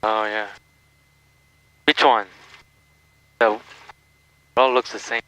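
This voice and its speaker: A man's voice: fairly low pitch, flat delivery, slow, sharp tone, muffled recording. A man as a student pilot, giving short, tentative replies and questions over an aviation headset in a small plane. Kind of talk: brief cockpit replies and radio practice. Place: small airplane cockpit.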